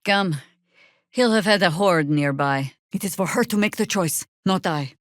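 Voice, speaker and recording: An actress playing a seasoned, sardonic older female druid warrior in a fantasy video game, wry, commanding and deliberate. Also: lowish voice, dry recording, clean studio sound, close to an everyday voice. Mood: stern, dry.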